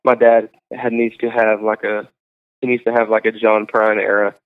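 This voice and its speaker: A young man, a singer-songwriter guest, chatting casually in an interview, halting and full of fillers. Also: on the low side, level tone, clear, resonant tone. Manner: halting, full of likes and ums. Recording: tinny phone-like sound.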